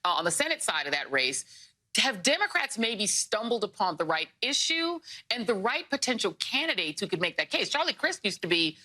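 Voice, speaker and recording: A woman hosting a political news panel in a fast, bright voice. She is wry and teasing and keeps the questions coming. Her delivery is quick and pointed. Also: mid-range voice, very animated. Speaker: news show host. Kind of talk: cable news panel discussion.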